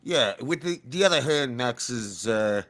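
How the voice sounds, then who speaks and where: A man's voice: medium pitch, expressive, a little slow, a bit bright. A man talking casually as a player in a tabletop roleplaying game, working through his moves.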